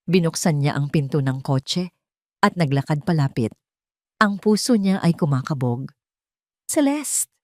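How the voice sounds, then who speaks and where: A woman's voice: deep voice, moderate pace, a little mellow, dry recording, clean studio sound. A woman narrator reading a scripted romance drama in a dramatic storytelling style.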